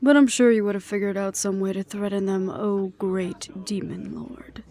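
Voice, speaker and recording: A wry ex-hunter woman, played by a voice actress in an intimate audio drama. Her voice is mellow and relaxed at a middle pitch. She talks softly and takes her time, teasing and affectionate, with a weary edge from family strife. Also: normal speed, natural voice. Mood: teasing, fond. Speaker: voice actor in audio roleplay. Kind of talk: intimate one-sided audio roleplay acting.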